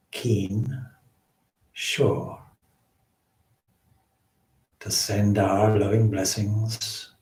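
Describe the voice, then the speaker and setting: A man leading a guided meditation as a swami, with measured, solemn phrasing and frequent pauses. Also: lowish voice, dark tone. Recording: roomy, echoing indoor sound.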